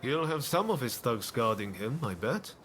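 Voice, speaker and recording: A breezy, animated roguish ronin. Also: male voice, medium pitch, normal speed, soft background music. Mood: breezy, confident. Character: jaunty, roguish ronin man with big ambitions. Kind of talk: video game cutscene acting.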